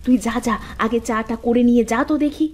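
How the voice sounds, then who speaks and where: A woman playing a put-upon young daughter-in-law in a cartoon, expressive and sharp-tongued, prone to sarcastic complaint. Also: on the high side, fast, breathy edge. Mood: worried, resentful. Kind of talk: cartoon voice acting, fairy-tale drama.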